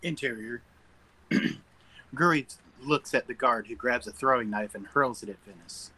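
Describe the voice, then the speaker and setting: A man narrates stage directions at a script table read. His voice is bright and forceful with a husky, rough edge, at a middling pitch. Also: measured pace, rough recording.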